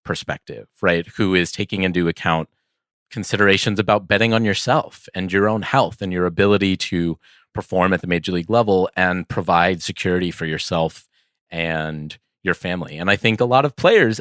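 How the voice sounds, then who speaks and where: A man's voice: low voice, normal speed, fairly bright tone, dry recording, studio quality. A man co-hosting a casual sports podcast, reasoning things out hesitantly and often checking in with a questioning tag. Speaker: podcast co-host.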